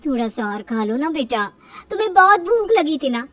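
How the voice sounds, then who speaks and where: A woman's voice: sits high, very animated, normal speed, far from the mic. A woman voicing a kind, protective, principled village mother in a moral-story cartoon. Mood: tender.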